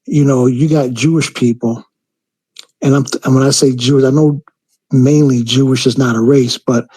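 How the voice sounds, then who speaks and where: A man calling in to a talk show, speaking in a halting way with many fillers and restarts. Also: medium pitch, fairly even intonation, dark tone, slightly rough, no echo, low-quality recording. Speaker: caller. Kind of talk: call-in commentary.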